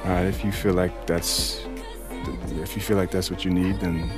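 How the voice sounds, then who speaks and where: A subdued young American basketball player acted by a man in a video game cutscene. He has a low voice and a halting, apologetic manner, with clear music underneath. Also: flat delivery, close to an everyday voice. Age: young adult.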